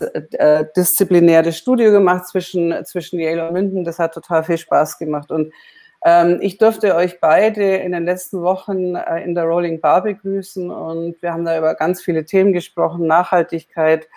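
A woman's voice: lowish voice, a little mellow. A woman in her forties moderating an online panel from a room at home, talking chattily with many fillers into earphones. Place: home room, video call. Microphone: earphone headset on video call.

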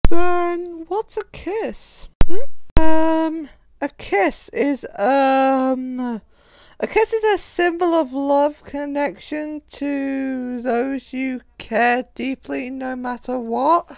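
A woman voicing a gentle, caring young female animatronic clown, earnest and a little hesitant, in a comic dub. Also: high voice, drawn-out words, creaky, no room sound, exaggerated voice. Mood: thoughtful, earnest. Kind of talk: emotional comic dub acting.

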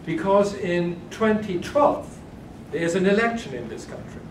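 A man's voice: high-pitched, lively intonation, measured pace. Older man lecturing in an echoey hall. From Germany. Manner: hesitant, many restarts and fillers. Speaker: keynote speaker. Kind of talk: conference lecture answering questions. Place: large carpeted conference room. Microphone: far room camera. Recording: echoey hall from far off, noisy.

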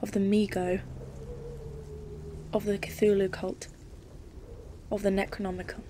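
A woman playing a grave, resolute professor in an audio drama, narrating in formal, measured, literary speech. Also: medium pitch, level tone, warm tone, slight background noise, natural voice. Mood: hushed dread. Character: grave female professor leading an Antarctic expedition, haunted and resolute. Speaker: narrator and lead in an audio play. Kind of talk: dramatic scripted narration and dialogue.

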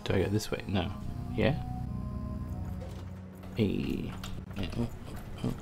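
Slow, gravelly gamer man. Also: fairly low pitch, music in the background. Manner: joking, muttering reactions. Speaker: gameplay commentator. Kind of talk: casual let's-play commentary.

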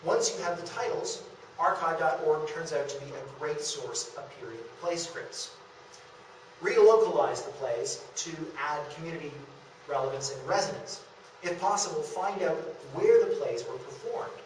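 A young man delivers a prepared lecture in a fairly high voice. He is picked up from a distance in a very echoey room, with some noise underneath. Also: lively intonation, moderate pace. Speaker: lecturer presenting a local theatre history talk. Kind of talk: prepared public lecture, scripted. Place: meeting room in a historic house, at a podium. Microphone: distant camera microphone. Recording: echoey room, distant camera, background hiss.

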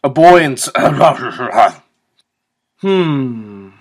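Man playing haughty young prosecutor, lively swooping pitch, slow deliberate lines, theatrical fan dub. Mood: smug. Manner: theatrical, laughing fits between takes. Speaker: fan voice actor.

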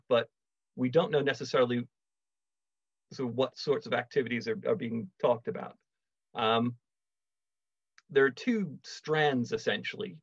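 A middle-aged man giving a measured online lecture on a video call, with wry asides. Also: medium pitch, husky, dry recording. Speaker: lecturer, archaeology project manager. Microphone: video call webcam. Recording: dull, dry video call audio.

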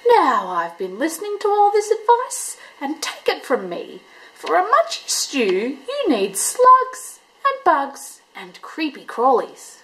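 Lady doing a huffy little echidna, squeaky high and bossy. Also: huge pitch swings, slow pace, a bit bright, full character voice, poor audio. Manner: high, bristling. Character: young male echidna, prickly and bossy.

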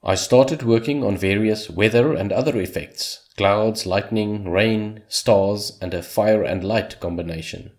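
Male voice-over host, native Afrikaans speaker, slightly low register, steady scripted delivery with dry humor. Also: a little slow, few pauses. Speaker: hobby game developer, channel host.

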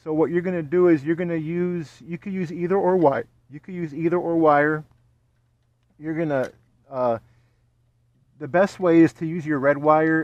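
A man working as an HVAC technician, explaining a repair step by step and checking in with "okay?" after each point, recorded on a body-worn camera in an attic and then in a backyard. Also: dry recording. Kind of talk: hands-on repair tutorial. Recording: dull, dry, low-fidelity sound.

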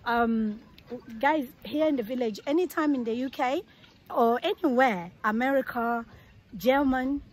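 High-voiced woman chatting excitedly outdoors. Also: a little slow. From Tanzania. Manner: animated, laughing, enthusiastic storytelling. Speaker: visitor from the UK. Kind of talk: casual chat to camera. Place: outdoors on a grassy village field with tents.

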